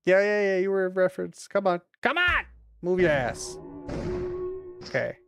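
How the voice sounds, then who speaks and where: Sweary, animated woman gamer. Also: lowish voice, moderate pace, over music. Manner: sweary, exasperated muttering and exclaiming. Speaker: streamer playing a video game. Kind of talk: casual live gameplay commentary.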